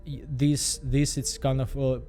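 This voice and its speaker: Halting Belarusian man. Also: mid-range voice, flat delivery, dark tone, soft background music. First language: Russian. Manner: halting, repeats words, frequent fillers. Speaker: vlogger. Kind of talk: casual self-help vlog monologue.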